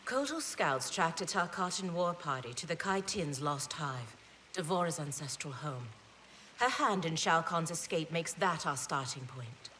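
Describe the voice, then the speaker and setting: A woman's voice: sits low, lively intonation, a little slow, bright, forceful tone, a little hiss or hum, full character voice. An actress playing a confident, pragmatic warrior woman in a video game, with an assured, persuasive delivery. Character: confident, pragmatic female warrior, loyal adviser. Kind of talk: video game cutscene acting.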